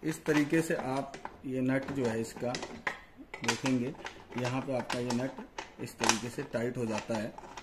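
A man's voice: medium pitch, fairly even intonation, unhurried. A man, explaining a product informally and step by step to camera. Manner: explanatory, repeats "you see" and "I'll show you". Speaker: product reviewer and audio installer. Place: indoor tiled storeroom with boxes. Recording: echoey room, background noise.

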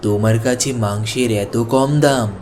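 A man plays a friendly cartoon shopper hunting for a bargain. His voice is medium-pitched and easily surprised. A little noise is heard behind him. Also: fairly even intonation, moderate pace, a little mellow, lightly acted. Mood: curious, surprised. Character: surprised, friendly male bargain-seeking customer. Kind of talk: Bengali cartoon voice acting.

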